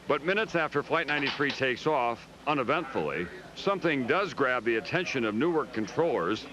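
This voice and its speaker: A man's voice: medium pitch, poor audio. An American man narrating a scripted news documentary as correspondent, with a grave, dramatic storytelling delivery.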